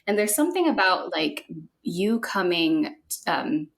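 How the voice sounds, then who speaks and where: Lowish-voiced woman therapist from the Philippines. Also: big pitch swings, a little slow, vocal fry. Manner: reflective, thinks aloud with many fillers and restarts. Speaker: guest psychotherapist. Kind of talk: casual podcast conversation.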